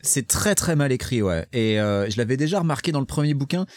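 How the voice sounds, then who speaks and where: A man's voice: mid-range voice, lively intonation, fairly quick, darkish tone. A man hosting a podcast in casual chat about a book, sarcastic and mocking, swearing freely as he talks. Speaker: podcast host.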